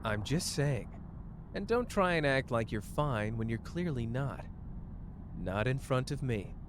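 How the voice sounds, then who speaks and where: Guy voicing cocky young sorcerer dude for asmr roleplay, teasing but sweet, super expressive pitch, car noise behind him. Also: measured pace, lightly acted. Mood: concerned. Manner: teasing, casual, tender. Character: cocky, playful, caring young male sorcerer, protective partner. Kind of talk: roleplay ASMR acting, addressed to the listener.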